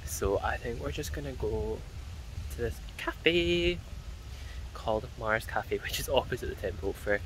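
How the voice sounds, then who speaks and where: A man's voice: mid-range voice, lively intonation, unhurried, fairly bright tone, a little hiss or hum, poor audio. A young man chatting casually and upbeat as he films his travel vlog on a phone held at arm's length outdoors. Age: young adult. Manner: chatty, upbeat, rambling asides. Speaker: travel vlogger. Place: outdoors at temples and parks. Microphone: phone camera at arm's length.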